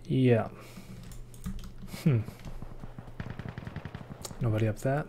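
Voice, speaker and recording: A man's voice: mid-range voice, extremely slow, warm tone, slight background noise. A young man from Uruguay, chatting casually while streaming a game and reading chat aloud. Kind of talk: live gameplay commentary. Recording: faint gunfire behind voice.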